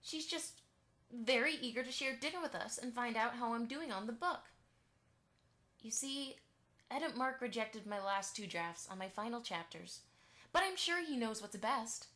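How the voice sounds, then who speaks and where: Chatty, eager-to-please cartoon pony mother for radio play, female performer; fairly high voice, effusive. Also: lively intonation, normal speed, bright, forceful tone. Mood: placating. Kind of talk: fan radio play acting.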